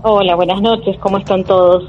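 A woman's voice: medium pitch, steady tone, brisk, a little hiss or hum. Hesitant Argentine woman on a phone line. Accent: Argentine Spanish. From Jujuy, Argentina. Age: 40s. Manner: hesitant, with frequent fillers. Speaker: caller telling a true story.

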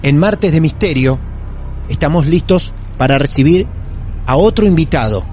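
A man's voice: fairly high pitch, lively intonation, moderate pace, a little mellow, soft background music. A man with an Argentine accent hosting a paranormal podcast, talking in a theatrical, welcoming way and reassuring his caller. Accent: Argentine Spanish. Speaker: podcast host.

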